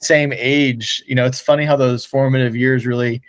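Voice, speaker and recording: A man's voice: medium pitch, fairly even intonation, moderate pace, no room sound. An American man hosting a podcast in a casual, conversational style. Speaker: podcast host.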